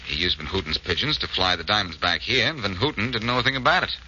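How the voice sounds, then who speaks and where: An actor playing a confident, wisecracking amateur detective in 1940s radio drama style, wry and self-assured. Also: male voice, on the low side, fast, lightly acted. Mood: explaining. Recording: muffled, noisy old radio recording.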